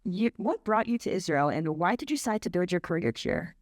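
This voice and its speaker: A woman moderating a live panel discussion, asking measured questions and introducing the speakers. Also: fairly low pitch, expressive, dry recording, studio quality. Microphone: handheld microphone.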